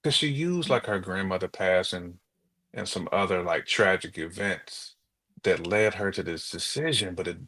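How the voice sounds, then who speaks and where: A man co-hosting a relationship podcast, chatting casually in a halting, colloquial way. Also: deep voice, a bit bright. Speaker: podcast co-host.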